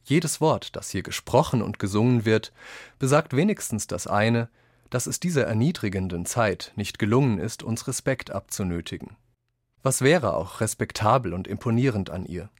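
Lower-voiced man reading quotations, dry studio. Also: animated, moderate pace, dry recording. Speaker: reader of quotations in a radio essay. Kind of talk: scripted literary reading.

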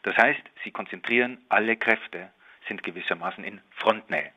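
Man, lowish pitch, extremely bright forceful tone, structured explanatory commentary. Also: moderate pace, low-quality recording.

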